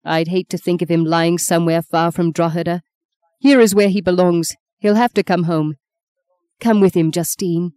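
A woman's voice: fairly low pitch, little pitch movement, moderate pace, dry recording, lightly acted. A woman voicing a mother in an audiobook, firm and controlled yet tender through her grief. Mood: consoling, firm. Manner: controlled, firm, gentle, unfaltering. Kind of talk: dramatic audiobook character voice.

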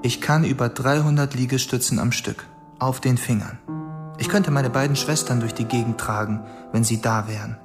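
A man playing a proud, inventive schoolboy in a measured, introspective radio drama monologue. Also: mid-range voice, fairly even intonation, natural voice. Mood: proud, brooding. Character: proud, restless schoolboy, inventive older brother, solitary. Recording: clear music behind the voice.

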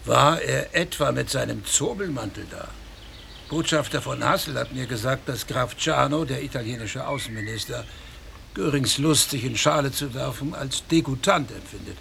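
An actor playing a blunt, gossipy, smug surgeon in a radio play, speaking in a brusque, dismissive way. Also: male voice, medium pitch, moderate pace, gravelly, fairly bright tone, a little hiss or hum. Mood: gossipy, amused.

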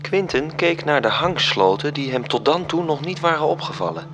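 An actor playing a wistful angel who narrates a radio drama, a man with a measured delivery. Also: fairly high pitch, animated, lightly acted. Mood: storytelling. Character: wistful angel recounting the tale to his superior. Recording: music under the voice.

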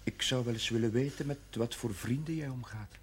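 An actor playing an earnest, admonishing village chaplain in a television drama, with a firm way of talking. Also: male voice, mid-range voice, brisk, close to an everyday voice. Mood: calm, firm. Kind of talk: 1970s television drama acting. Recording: noisy 1970s TV soundtrack.

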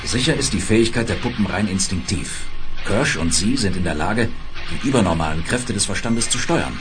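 A man acting a commanding spaceship leader with a deep, grave voice. He speaks deliberately and decisively, in the manner of a 1970s German sci-fi radio drama, with music present. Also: expressive, close to an everyday voice. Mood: grave, resolute. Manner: measured, authoritative, deliberate.

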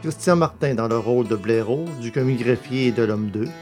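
A man's voice: medium pitch, brisk, a little mellow. A man reading scripted credits as the announcer of an audio drama. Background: plucked guitar music.

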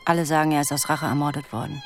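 An actress in a German radio drama playing a sharp-witted young female stenographer, speaking her thoughts in a dry, wry inner monologue. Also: sits low, level tone, rapid-fire, soft background music. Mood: pondering.

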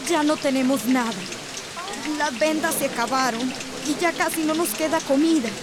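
High-pitched anxious woman acted. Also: moderate pace, crowd noise, close to an everyday voice. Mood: worried, pleading. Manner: pleading, insistent. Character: anxious woman relief worker calling for help by radio. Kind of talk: radio drama dialogue. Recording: loud rain hiss behind voice.

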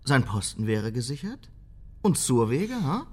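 A mid-pitched male voice as a blunt party politician in a naturalistic radio drama. He talks impatiently, like someone keen to shut a matter down. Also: big pitch swings, measured pace, darkish tone, husky. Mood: calculating.

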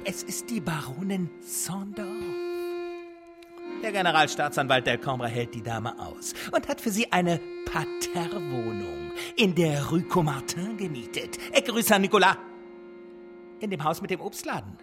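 An insolent coachman in a radio drama, swinging widely in pitch over clear music. He takes his time, then hurries, full of spite and sly insinuation. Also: big pitch swings. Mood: gloating, spiteful. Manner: insinuating, drawn-out then rushed phrasing. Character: insolent, scheming dismissed coachman, spiteful gossip. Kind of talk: German radio drama acting.

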